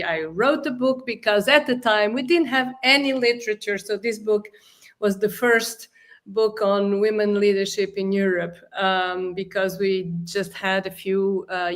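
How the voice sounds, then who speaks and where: A woman's voice: medium pitch, a bit bright. Female guest expert, fluent and explanatory in her answers. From Portugal. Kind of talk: interview answer.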